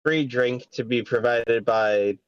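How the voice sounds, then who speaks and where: A man playing an official crier who makes measured proclamations in a script table read, on dull-sounding audio. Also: medium pitch, clear, resonant tone, dry recording, natural voice. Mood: formal. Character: official crier announcing a royal festival.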